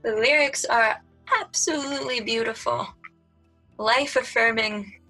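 A woman with a high soprano voice talks as a guest in a relaxed chat, giggling often and letting her sentences drift off. Her voice is fairly high and very bright. Faint music plays behind her. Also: unhurried, low-quality recording. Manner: giggly, chatty, trails off mid-sentence. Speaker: guest, pop singer. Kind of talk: casual chat.

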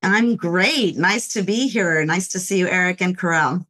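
A middle-aged woman from Los Angeles, a corporate lawyer and author, speaking as a podcast guest in a measured, reflective way with frequent "you know"s. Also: fairly low pitch, fairly bright tone. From Los Angeles, United States. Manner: measured, thoughtful, often says "you know". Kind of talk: reflective interview talk.

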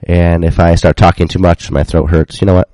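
A man's voice: low-pitched, a little fast, dry recording. A man co-hosting a casual faith and finance chat, stuffed up as he gets over a cold. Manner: relaxed, conversational, with fillers. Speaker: podcast co-host.

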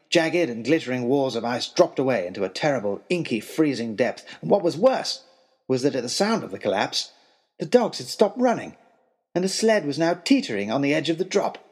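A man playing a florid, anxious young gentleman adventurer, telling his tale in a wordy, mannered way with wry understatement in a comic audio drama. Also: on the high side, husky. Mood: tense, dramatic. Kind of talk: first-person comic adventure storytelling, letter-like period narration.

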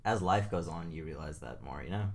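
A man's voice: extremely low pitch, a little fast, gravelly. A young man co-hosting a casual podcast, thoughtful and full of fillers. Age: young adult. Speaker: podcast co-host. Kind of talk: casual chat.

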